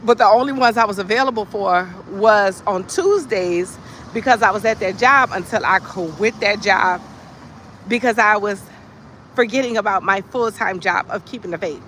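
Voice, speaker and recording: Bright, sassy American livestream storyteller. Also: mid-range voice, normal speed, a little hiss or hum, poor audio. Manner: animated, sassy, emphatic, conversational asides. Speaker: livestream host telling stories. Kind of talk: casual chat with comic storytelling.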